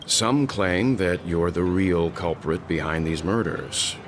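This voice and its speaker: An actor voicing a stern, guarded veteran of a space crew in a video game, formal and deliberate. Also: male voice, low-pitched. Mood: probing. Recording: music clearly behind the voice.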